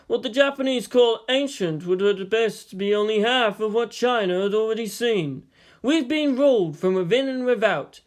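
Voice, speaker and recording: A man's voice: very high voice, pitched above the performer's own voice. A man voicing a cheerful, amused older Chinese strategist with a teasing, philosophical manner in an audiobook story. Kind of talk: dramatized audiobook dialogue.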